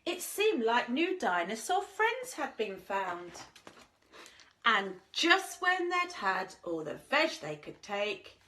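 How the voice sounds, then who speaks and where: A woman reading a children's story aloud in a dramatic, expressive way, speaking directly to young listeners. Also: medium pitch. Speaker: infant school teacher reading stories to children.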